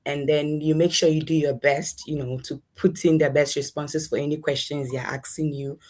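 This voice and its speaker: A woman's voice: sits low, fairly even intonation, moderate pace, darkish tone. A young adult woman with a Ghanaian accent, giving conversational answers as an interview guest. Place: in a room at home, online interview.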